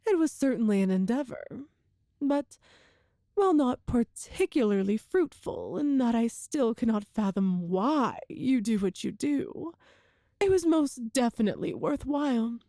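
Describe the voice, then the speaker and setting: A woman performs an all-powerful evil empress in an intimate ASMR audio drama. Her voice is fairly high and velvety, recorded very dry and close. She speaks in measured, formal phrases, coolly smug and seductive, letting her pauses linger. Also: big pitch swings, mellow, no echo. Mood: fascinated, seductive. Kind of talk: scripted ASMR roleplay monologue.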